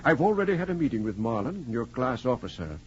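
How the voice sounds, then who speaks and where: An actor playing a grave, formal middle-aged college dean in a 1940s radio drama. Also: male voice, mid-range voice, very animated, slightly rough. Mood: grave, regretful. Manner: formal, measured, stern. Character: grave, formal male college dean, regretful but firm. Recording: muffled, hissy 1940s radio recording.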